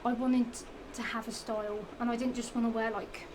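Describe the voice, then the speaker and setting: A woman's voice: a little high, flat delivery, fairly quick. Rambling young woman with a Norfolk accent. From England. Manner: rambling, self-repeating, giggly, with many fillers and restarts. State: slightly strained voice from the heat. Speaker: vlogger. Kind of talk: casual chatty product show-and-tell. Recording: noisy home recording.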